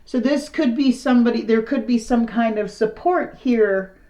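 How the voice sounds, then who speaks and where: A middle-aged woman with a raspy, slightly hoarse voice gives a casual tarot reading to the camera. She talks in a frank, rambling, conversational way. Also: on the high side, normal speed, mellow. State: slightly hoarse after illness. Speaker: tarot reader.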